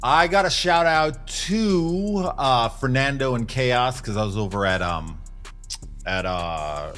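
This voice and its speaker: A man's voice: mid-range voice, lively intonation, takes it slowly, a bit bright, faint music behind. A man co-hosting a podcast, talking in a casual conversational style. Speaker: podcast co-host. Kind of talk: casual chat.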